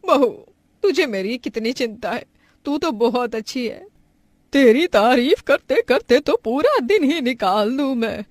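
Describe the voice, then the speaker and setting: A woman's voice: high voice, big pitch swings, a little slow, dry recording, heavily acted. A woman voicing a scolding, sarcastic middle-aged mother-in-law in a cartoon story, nagging and dramatic. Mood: nagging, exasperated.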